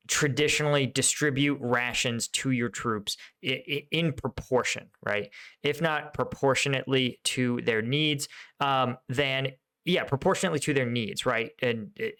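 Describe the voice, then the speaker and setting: An American man, a military veteran host, gives off-the-cuff reaction commentary in a halting, explanatory way, often checking in with the listener. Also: mid-range voice, a bit bright, clean tone.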